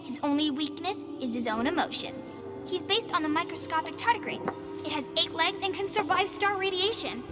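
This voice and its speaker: Little girl, very high pitch, insistent and intense, reverberant hall sound, video game. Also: lively intonation, moderate pace, hardly any pauses, over loud music. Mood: earnest, proud. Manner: earnest, insistent. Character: intense, bossy, bug-loving little girl.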